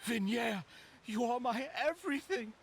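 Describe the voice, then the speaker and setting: Obsessed older man in a game, anguished and halting, really swingy voice, faint noise behind. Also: very high-pitched, lively intonation. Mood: anguished devotion. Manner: anguished, pleading, halting, melodramatic. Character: desperate, obsessive older man, lovelorn murderer. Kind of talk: dramatic video game voice acting.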